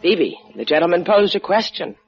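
Low, dry, teasing American woman. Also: big pitch swings, normal speed, faint music behind. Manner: dry, wry, clipped. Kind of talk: 1950s radio drama acting. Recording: dull lo-fi old radio recording.

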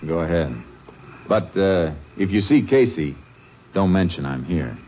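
Very low-voiced American lawman, commanding and terse, 1940s radio western, muffled sound with music. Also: animated, a little slow. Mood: businesslike. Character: steady, authoritative Texas Ranger investigator, adult man. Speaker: lead and narrator. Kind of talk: 1940s radio western, clipped narration and dialogue. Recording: dull old radio recording with music.

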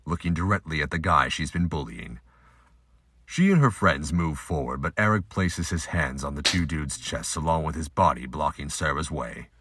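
A man with a husky voice reading scripted narration for an audio drama. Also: rumbling low, animated, normal speed, fairly bright tone.

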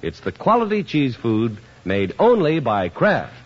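Old timey radio ad guy, super swoopy folksy pitch, bit raspy, muffled recording. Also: huge pitch swings, a little slow, over loud music. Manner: emphatic, folksy salesmanship. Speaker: commercial announcer. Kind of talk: 1950s radio advertising, scripted.

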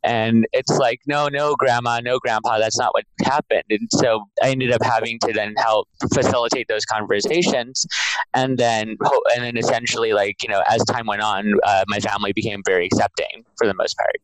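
A man's voice: fairly low pitch, steady tone, normal speed, no room sound, poor audio. A man speaking as an interview guest, explaining things casually and informally with lots of filler words. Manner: informal, filler-heavy with frequent "like".

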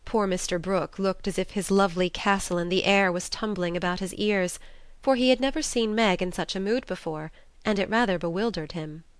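A woman's voice: medium pitch, a little fast, smooth, no room sound. A woman reading a classic novel aloud as a scripted literary narration. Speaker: audiobook narrator.

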